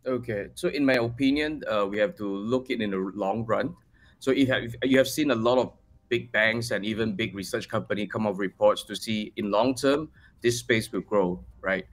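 A Malaysian-accented man in his forties talks as a guest on a video call. His voice is somewhat low, and he answers questions in a casual, conversational way. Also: normal speed. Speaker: guest, crypto project team member. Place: bright room at home, video call.

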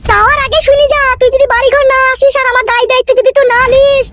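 A woman's voice: extremely high pitch, steady tone, very fast, a bit bright, no room sound. A woman voicing a scorned, vindictive village wife who scolds and threatens in a comic cartoon. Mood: furious, scolding. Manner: scolding, threatening, foul-mouthed.

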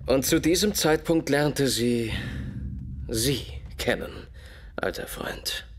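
An actor playing a brilliant, grave Victorian detective in a German radio play, explaining a case in a deliberate, measured way. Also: male voice, medium pitch, slightly rough. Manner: deliberate, measured, expository, with dramatic repetitions.

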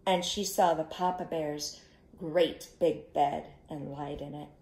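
A middle-aged woman telling an interactive story to young children, expressive and instructive, inviting them to join in, recorded in a living room. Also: low-pitched, slow, reverberant. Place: small living room, indoors. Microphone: camera a short distance away.

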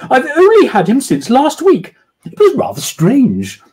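A self-satisfied office manager of a woman, as a man plays her, brisk and a little evasive. Her voice sits well above his own and swings up and down lively, with a husky edge. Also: very high voice, voice pushed up, exaggerated voice. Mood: chatty. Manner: smug, brisk. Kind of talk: storybook reading.

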